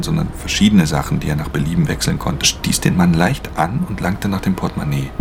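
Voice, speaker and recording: An actor playing a brooding, guarded pickpocket who narrates his own crime story in a radio drama, terse and subdued. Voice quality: low, gravelly.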